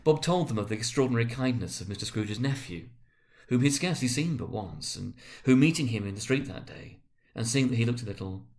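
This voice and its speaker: Male storyteller, dramatic literary narration, very lively intonation, occasionally halting. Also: mid-range voice, gravelly.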